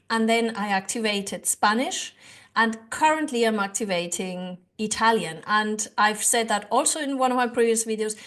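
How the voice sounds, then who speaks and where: A woman's voice: a little high, a bit bright. A woman whose first language is German gives an earnest, rambling English lesson to camera, with many fillers and restarts. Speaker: language-learning YouTuber. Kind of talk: casual teaching to camera.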